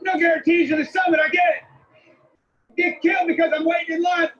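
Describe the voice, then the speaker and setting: An actor playing a desperate, exhausted climber in danger in a tense film drama. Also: male voice, extremely high pitch, level tone, moderate pace, fairly bright tone, reverberant, dull audio, slight background noise. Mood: angry.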